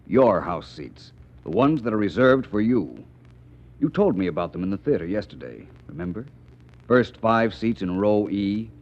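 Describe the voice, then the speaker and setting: A man's voice: lowish voice, animated, normal speed, natural voice. An actor playing an unflappable, urbane male private detective with wry, formal wording, in 1940s radio mystery style. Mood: cool, probing. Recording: muffled vintage radio recording.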